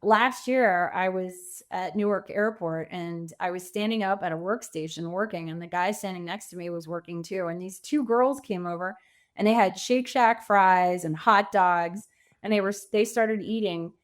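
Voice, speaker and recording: A woman's voice: lowish voice, normal speed. A woman speaking as a podcast guest, telling anecdotes in a casual, informal way and voicing quotes. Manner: informal, illustrates points with anecdotes and acted-out quotes. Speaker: podcast guest, author and coach. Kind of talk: casual conversational storytelling.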